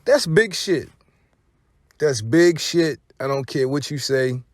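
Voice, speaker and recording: Animated slangy man commentating, fairly high voice. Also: takes it slowly, dry recording, clean studio sound. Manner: slangy, profane, emphatic with repeated phrases. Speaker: online show host. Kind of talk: informal opinionated hip-hop commentary.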